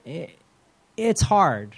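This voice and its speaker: A man preaching a sermon as pastor, earnest and soft-spoken, with frequent restarts. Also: fairly high pitch, sing-song, very slow, gravelly.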